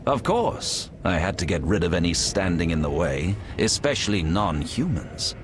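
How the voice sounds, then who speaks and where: Zealous visionary villain, deepish voice, animated intonation, solemn, declamatory, fantasy video game. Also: male voice, moderate pace, background noise. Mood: grandiose self-justifying. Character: zealous prophetic male order leader, grandiose fantasy villain. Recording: music behind the voice.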